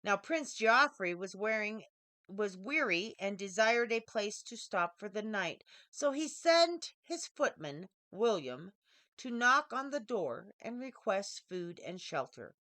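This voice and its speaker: A woman reading a scripted fairy tale aloud as a storyteller, with deliberate, dramatic phrasing. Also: mid-range voice, sing-song, sharp tone, no echo, clean studio sound. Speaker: author reading her own story.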